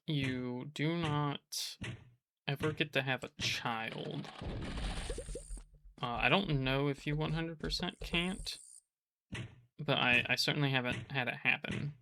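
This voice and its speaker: A man giving casual gameplay commentary from his apartment, in a flat, restrained voice that he keeps low so he doesn't wake the neighbors, rambling with frequent restarts. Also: slow pace, gravelly, long pauses, noisy background. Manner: monotone, kept quiet. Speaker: gaming channel host.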